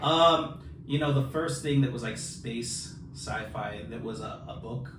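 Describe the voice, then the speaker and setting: Man, casual co-host, agreeable, halting delivery, mid pitch, roomy echo. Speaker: podcast co-host. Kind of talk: casual chat. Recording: echoey room, distant mic, clear noise.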